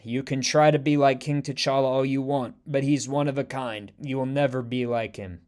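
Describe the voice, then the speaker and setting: A man's voice: medium pitch, steady tone, normal speed, darkish tone, voice pushed down, lightly acted. M'Baku, a man doing a defiant warrior chief. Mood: scornful. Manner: defiant and heated.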